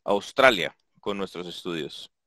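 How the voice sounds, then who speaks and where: A man with a lowish voice interprets a lecture in short bursts over a video call. He keeps up with the speaker phrase by phrase, now and then cutting off a word and starting it again. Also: lively intonation, bright, forceful tone. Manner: halting, restarts mid-word. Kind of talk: consecutive interpreting into Spanish.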